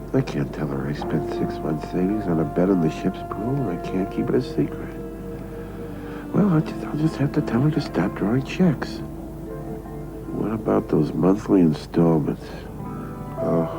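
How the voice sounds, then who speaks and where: An actor playing an anxious middle-aged American gambler, muttering to himself in an agitated, scheming way in a television drama. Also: male voice, low voice, unhurried, creaky, poor audio. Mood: despairing. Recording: loud music behind voice.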